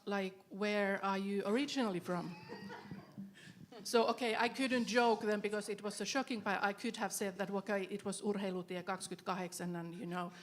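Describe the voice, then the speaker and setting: A woman in her forties with a Finnish accent, speaking on a theater panel into a handheld mic, pausing often with fillers. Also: medium pitch. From Finland. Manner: hesitant, many fillers. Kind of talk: panel discussion. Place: small stage in a theater.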